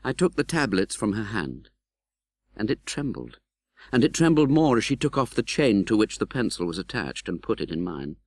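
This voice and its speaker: A British man playing an earnest, tender-hearted gentleman who recounts his own life in a dramatic, measured audiobook narration. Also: lowish voice, sing-song, dry recording, clean studio sound. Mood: earnest, grave. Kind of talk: dramatic literary audiobook narration in first person.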